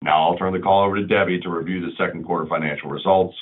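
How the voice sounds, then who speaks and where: A man speaking as a chief executive, reading prepared corporate remarks in a formal, measured way. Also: sits low, steady tone. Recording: muffled conference phone line.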